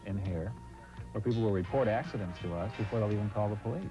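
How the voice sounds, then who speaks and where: Low-voiced American man chatting in an interview. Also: fast, low-quality recording. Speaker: radio traffic reporter. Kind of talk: casual interview talk. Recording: 1980s TV soundtrack, music underneath.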